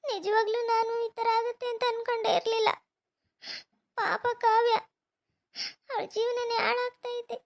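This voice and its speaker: A woman's voice: extremely high pitch, little pitch movement, normal speed, long pauses. A woman voicing a nagging worried mother. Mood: tearful lament. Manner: nagging, pleading, lamenting. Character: worried middle-aged mother scolding her grown son. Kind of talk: home-made cartoon voice acting.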